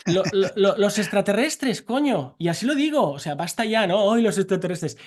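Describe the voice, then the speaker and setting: Man in his 40s, from barcelona, exuberant and jokey, slightly higher voice. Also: big pitch swings. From Barcelona, Spain. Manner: exuberant, jokey, rapid tangents. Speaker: interviewed scientist. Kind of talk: animated conversational interview.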